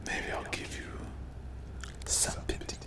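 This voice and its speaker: A performer voicing a sadistic, possessive demon in an ASMR dark fantasy roleplay, whispering menacing mock endearments to captive prey. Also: lightly acted. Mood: gloating, possessive. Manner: slow, menacing, mock-sweet purring whisper.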